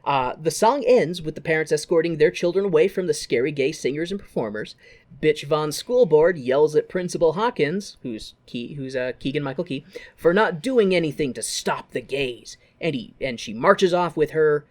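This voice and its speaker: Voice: medium pitch, lively intonation, moderate pace, darkish tone. A podcast co-host casually recapping a musical film with comedy, swearing freely and acting out mocking quotes.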